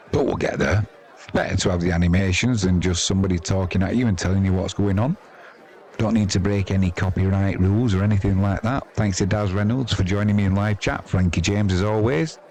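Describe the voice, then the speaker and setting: A man's voice: low voice, darkish tone. A man with an English accent giving chatty, wry, self-deprecating amateur live commentary on cricket. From England.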